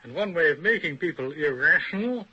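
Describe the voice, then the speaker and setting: Male academic, radio discussion. Higher-pitched, piercing, rough-edged delivery; articulate, measured, instructive; dull vintage recording. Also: animated, pushed and bright. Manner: deliberate, articulate, didactic. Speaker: academic expert guest, professor.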